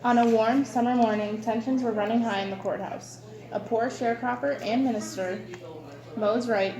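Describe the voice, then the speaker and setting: A woman's voice: medium pitch, rough recording. A woman with a mid-range voice works as a news co-presenter. She reads prepared copy aloud in the format of a scripted report.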